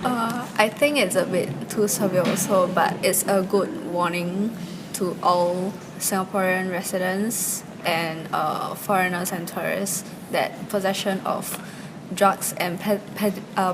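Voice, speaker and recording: A woman's voice: lowish voice, fairly even intonation, a little slow. A woman tourist giving casual, unscripted answers in a street interview. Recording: noticeable background noise.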